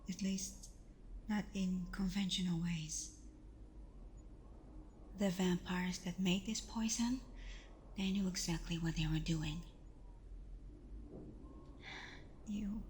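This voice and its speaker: A woman playing a protective, anguished vampire girlfriend in an ASMR roleplay, speaking in a soft, breathy half-whisper with earnest pauses. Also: mid-range voice, slight background noise, natural voice. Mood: grave, worried. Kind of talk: ASMR roleplay, close intimate acting.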